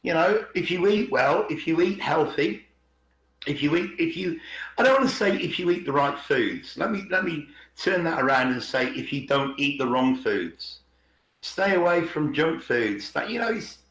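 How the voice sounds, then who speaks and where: A man's voice: on the high side, animated, normal speed, fairly bright tone. A middle-aged man in casual, opinionated discussion on an online call, rephrasing himself emphatically as he goes. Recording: roomy, echoey online call.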